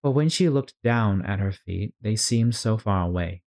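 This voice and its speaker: A storyteller reading a children's classic aloud as expressive narration for a read-along. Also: mid-range voice, normal speed.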